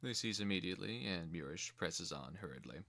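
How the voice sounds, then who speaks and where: A man's voice: deep voice, animated, fairly quick, creaky, pushed and bright. A man giving scripted dramatic narration as the narrator of a fantasy screenplay reading.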